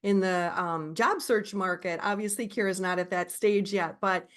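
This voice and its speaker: A woman in her forties from Minnesota, hosting a casual chat, animated and encouraging. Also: mid-range voice, moderate pace. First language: English. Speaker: podcast host and Spanish teacher.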